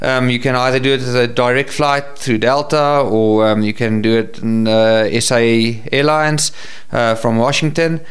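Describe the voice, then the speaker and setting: A man's voice: mid-range voice, steady tone, a little slow, clean tone. A man with a South African accent answering interview questions conversationally as a guest. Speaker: professional hunter and safari business owner, interview guest.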